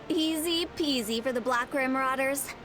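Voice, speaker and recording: A woman's voice: high voice, unhurried, very noisy. A woman voicing a cocky, playful young pirate girl in a video game, breezy and teasing. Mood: cocky, amused. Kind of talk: game cutscene acting.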